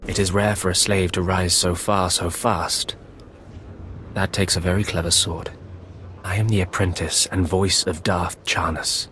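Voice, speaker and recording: Game villain's apprentice, very low, formal and persuasive, serving a shadowy master, faint noise behind. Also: male voice, flat delivery, unhurried, fairly bright tone. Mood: scheming, formal. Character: scheming Sith apprentice, deferential servant of shadowy master. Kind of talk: video game cutscene acting.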